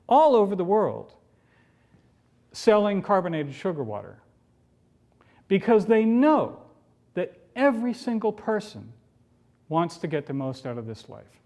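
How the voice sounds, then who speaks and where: A man speaks in a somewhat high voice as a public speaker. His delivery is earnest and emphatic, leaning on repetition to drive home each point in a motivational address. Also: darkish tone. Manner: earnest, emphatic, repeats phrases for rhetorical effect. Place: room, speaking to a campus audience.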